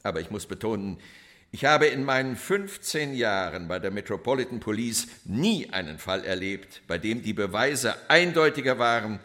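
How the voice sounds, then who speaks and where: An actor playing a cold, self-assured police inspector who testifies in formal, deliberate oratory in a radio crime drama. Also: male voice, fairly low pitch, animated, a bit bright. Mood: accusing, emphatic.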